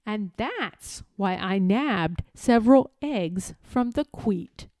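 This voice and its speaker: Animated, unhurried woman reading a storybook. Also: medium pitch, dry recording, studio quality. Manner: theatrical, rhythmic rhyming delivery. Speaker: storybook reader. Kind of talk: expressive children's read-aloud.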